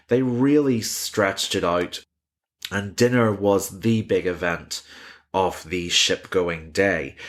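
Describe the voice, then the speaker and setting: Low-voiced man narrating history. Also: fairly bright tone. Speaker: history podcast host and author. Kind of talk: scripted narration with conversational asides.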